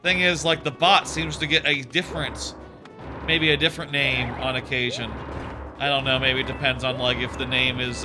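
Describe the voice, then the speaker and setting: A man casually chatting while streaming a game, rambling with lots of fillers, a bit sniffly with a sore throat. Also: mid-range voice. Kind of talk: casual gameplay commentary. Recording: game music playing behind. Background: game music.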